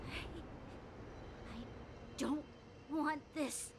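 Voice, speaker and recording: A woman playing an anguished, hesitant young woman in a video game, speaking in a halting, emotional way. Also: high voice, fairly even intonation, vocal fry, background noise, exaggerated voice. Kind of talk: dramatic video game voice acting.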